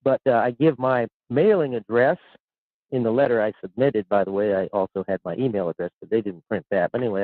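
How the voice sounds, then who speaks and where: A man's voice: medium pitch, dry recording. Caller, man in his 60s, rambly and repetitive, phone-line sound, dry. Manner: rambling, repeats words, chuckles. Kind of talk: call-in radio chat. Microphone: telephone.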